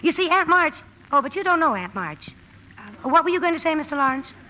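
High-voiced, blunt young tomboy. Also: female voice, big pitch swings, a little fast, pushed and bright, exaggerated voice. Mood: chatty, flustered. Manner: rambling, self-correcting, outspoken. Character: blunt, impulsive tomboyish young woman, aspiring writer. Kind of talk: 1950 radio drama acting. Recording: noisy vintage 1950 radio broadcast.

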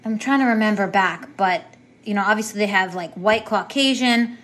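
A woman being interviewed, recalling events casually and hesitantly, pausing to search for words. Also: mid-range voice, fairly bright tone. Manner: hesitant, with many fillers and restarts. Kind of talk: casual recollection.

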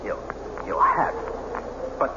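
An actor playing a placating doctor and friend in an old-time radio horror drama, earnest and theatrical in delivery. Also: male voice, takes it slowly, natural voice. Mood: bewildered. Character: smooth, placating doctor friend, accused of plotting murder. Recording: muffled, noisy vintage radio recording.

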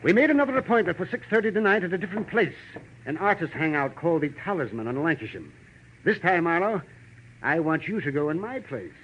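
An actor playing a gruff, middle-aged veteran cartoonist, imperious, fussy and impatient, in 1940s radio drama style. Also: male voice, a little high, big pitch swings, fast. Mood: testy recounting. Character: tall, gruff, crabby veteran male cartoonist. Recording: muffled, noisy vintage radio recording.

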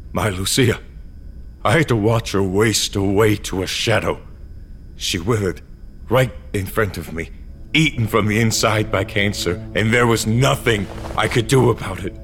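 An actor playing a tormented, brooding man with a gravelly voice in an audio drama. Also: lowish voice, moderate pace, a bit bright. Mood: anguished, pleading. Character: tormented man who remade his own body, brooding narrator-hero. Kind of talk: audio drama acting and first-person narration. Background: music.

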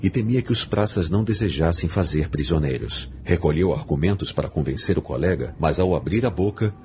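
A man with a Brazilian accent giving a solemn, measured, dramatic audiobook narration. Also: sits low, animated, darkish tone, a little hiss or hum.

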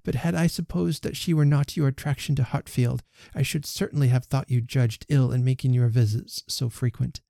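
A man reading the part of a proud young gentlewoman who firmly rejects a suitor, speaking crisply and emphatically. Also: medium pitch, steady tone, normal speed, dry recording, studio quality, natural voice. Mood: firm refusal. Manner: crisp, emphatic, rising protest.

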